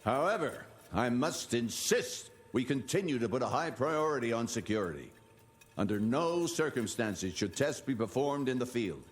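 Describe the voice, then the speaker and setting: An actor playing a formal, authoritative 1880s institute leader, measured and stern, in a video game journal. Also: male voice, medium pitch, sing-song, lightly acted. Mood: stern, earnest.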